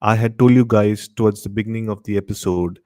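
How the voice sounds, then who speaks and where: Man, slightly low pitch, podcast host, informal address, deliberate emphatic repetition, dry sound. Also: warm tone, dry recording. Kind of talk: live horror show sign-off, informal address to listeners.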